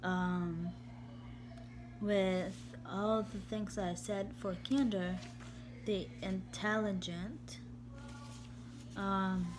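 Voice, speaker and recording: A woman reading a text aloud slowly and haltingly in a steady, even tone, often repeating words and restarting. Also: medium pitch. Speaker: vlogger. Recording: steady background hum.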